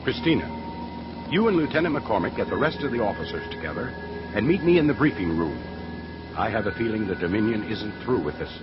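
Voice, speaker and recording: Serious space general, brisk orders, animated pitch, muffled radio play with music. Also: male voice. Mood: commanding. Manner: brisk, authoritative. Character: commanding, serious rebel general. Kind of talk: sci-fi radio drama acting.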